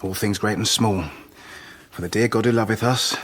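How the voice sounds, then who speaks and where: Low-voiced cryptic madhouse patient. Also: male voice, steady tone, normal speed, fairly bright tone. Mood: urgent, pleading. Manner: cryptic, quoting verse, abrupt. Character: devout, agitated male madhouse patient, cryptic and pleading.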